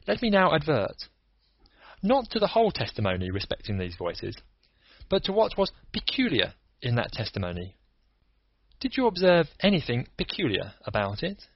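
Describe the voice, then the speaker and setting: Picture a cool, analytical detective who lays out his deductions one methodical point at a time, read by a man. The voice is husky and rises and falls in a lively way. The recording is dull and dry. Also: a little high, normal speed, no echo, voice pushed up, heavily acted. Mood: reasoning. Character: cool analytical male detective, lecturing calmly. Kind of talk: audiobook character reading.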